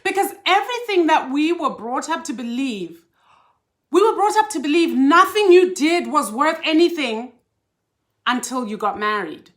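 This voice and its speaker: A woman's voice: sits high, animated, normal speed, fairly bright tone. A woman from Zimbabwe, a life coach hosting a casual live stream and chatting animatedly with her viewers, laughing easily. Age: older.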